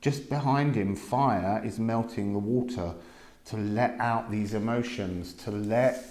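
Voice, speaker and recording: A man giving a casual spoken card reading, musing slowly with many pauses. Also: on the low side, darkish tone. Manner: slow, musing, drawn-out delivery with many pauses. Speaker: tarot and astrology reader. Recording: echoey room with faint noise.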